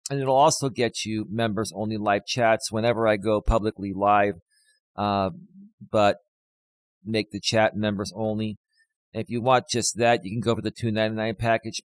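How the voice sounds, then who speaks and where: A man hosting his own live stream as a life coach, talking casually to viewers with a slightly hoarse voice and lots of fillers. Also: lowish voice, fairly even intonation, moderate pace. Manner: rambling, many fillers, talks straight to viewers.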